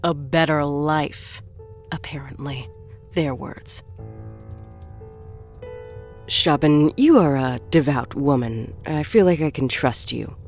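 A woman acting in an audio drama as a stern, devout church official, speaking in a solemn, deliberate, imperious manner. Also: sits low, darkish tone. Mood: grave, zealous. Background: faint music.

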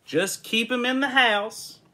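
A man's voice: very high voice, takes it slowly, sharp tone, pitched above the performer's own voice, exaggerated voice. Man putting on a bossy, exasperated farmer. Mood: stern. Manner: emphatic, demanding. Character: bossy farmer phoning home, suspicious and exasperated.

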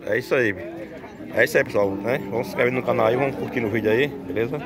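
A man vlogs from outdoor cattle pens, speaking chattily into a handheld phone at a mid-range pitch. He keeps tossing tag words at his viewers. A loud crowd fills the background. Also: fast talker. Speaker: vlogger at a cattle fair. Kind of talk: casual vlog showing cattle. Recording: loud crowd noise behind.

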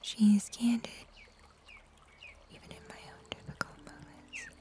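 Young woman, breathy whispered ASMR roleplay, serene angel, slow and soothing, soft dark tone. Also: fairly high pitch, huge pitch swings. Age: young adult. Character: serene, gentle summer angel. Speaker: ASMR performer. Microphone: close ASMR microphone.

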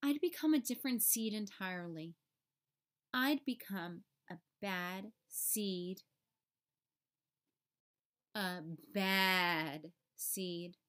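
Woman voicing a grumpy bad little seed, very slow. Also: medium pitch, a bit bright, pitched below the performer's own voice. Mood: gloomy. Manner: drawn-out, emphatic, confessional delivery. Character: grumpy, self-confessed bad male sunflower seed. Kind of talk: children's storybook read-aloud.

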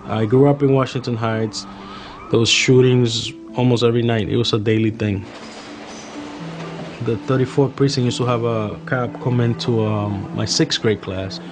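A man from the Dominican Republic, a police officer in his thirties, giving earnest, measured testimony in a documentary interview and repeating phrases for emphasis. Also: medium pitch, steady tone. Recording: music bed playing behind voice. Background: guitar music.

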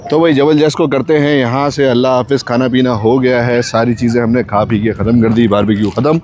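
A man's voice: mid-range voice, steady tone, fairly quick, a little mellow. A man, chatting casually to viewers as a travel vlogger on a mountain picnic spot, pointing things out as he goes. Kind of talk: casual vlog narration. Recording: faint outdoor noise. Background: wind and passing vehicles.

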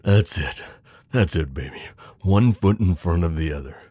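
A man playing a devoted, protective knight in an intimate fantasy romance audio roleplay, urgent and pleading with hushed reassurance. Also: low voice, expressive, moderate pace, breathy edge, no echo. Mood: tense, protective. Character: devoted protective male knight, desperate, tender lover.

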